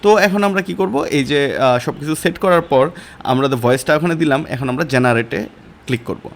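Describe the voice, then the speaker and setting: A man's voice: fairly high pitch, normal speed, a little hiss or hum. Bangladeshi man giving an informal tutorial. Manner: informal colloquial speech. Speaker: online course instructor. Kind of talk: step-by-step software tutorial.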